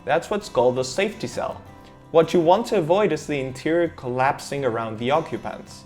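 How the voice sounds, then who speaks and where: A man's voice: on the high side, fairly quick, a little mellow, soft background music. A man from Argentina, speaking English as a second language, narrating a car safety explainer with enthusiastic emphasis. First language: Spanish. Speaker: car reviewer.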